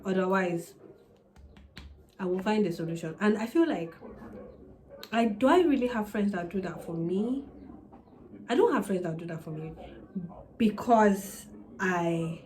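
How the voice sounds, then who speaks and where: A young woman in her twenties, a native Yoruba speaker with a Nigerian accent, chatting casually and candidly to camera with quick asides. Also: on the low side, warm tone, slight background noise, low-quality recording. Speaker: vlogger. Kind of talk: casual sit-down advice chat.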